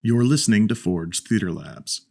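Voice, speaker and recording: Male announcer, slightly low voice, scripted promotional narration, very dry close sound. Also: expressive, normal speed, gravelly, darkish tone, dry recording, faint music behind. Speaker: theater company announcer.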